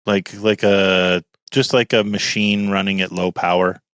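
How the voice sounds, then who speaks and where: A man co-hosting a podcast with a deep voice, talking in a deadpan, mock-serious way. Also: a little slow, clean tone, no echo, clean studio sound. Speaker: podcast co-host. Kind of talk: casual chat.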